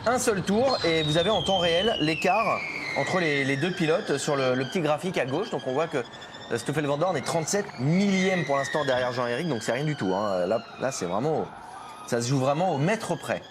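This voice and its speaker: A man's voice: a little high, lively intonation, moderate pace, background noise. A man giving live motorsport commentary in a chatty way, with many fillers and restarts. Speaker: motorsport commentator tied to a team.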